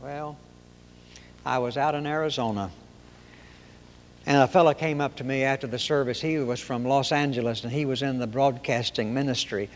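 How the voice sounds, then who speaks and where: A man from Oklahoma with a high-pitched nasal voice, preaching a sermon in folksy storytelling fashion. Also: steady tone, moderate pace, pauses often, big-room sound. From Oklahoma, raised in Arkansas.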